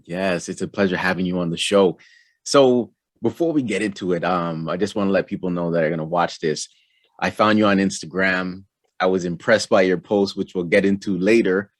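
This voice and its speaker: A man hosting an interview show, asking relaxed, friendly questions with plenty of fillers. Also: low voice, normal speed, no echo, studio quality. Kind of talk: casual interview.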